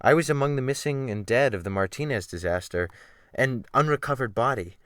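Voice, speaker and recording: A man's voice: medium pitch, animated, a little fast, a bit bright, no echo, clean studio sound, natural voice. A man reading a dramatic audiobook as a refined, bookish, self-pitying scholar, with fussy, emphatic literary phrasing.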